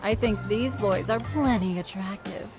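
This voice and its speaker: Actress as playful, unhurried nightlife hostess. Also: female voice, medium pitch, over music, natural voice. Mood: teasing, flirty. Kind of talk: English video game dub acting.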